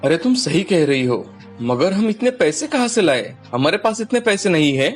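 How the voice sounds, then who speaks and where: A man's voice: fairly high pitch, sing-song, moderate pace, faint music behind. A man voicing an agreeable, curious village husband in a children's story. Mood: concerned.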